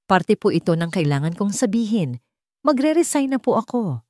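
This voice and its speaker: A woman's voice: mid-range voice, big pitch swings, normal speed, warm tone, no room sound, clean studio sound, close to an everyday voice. A woman with a Filipino accent voicing an anxious, deferential nanny in an audio story, polite but firm.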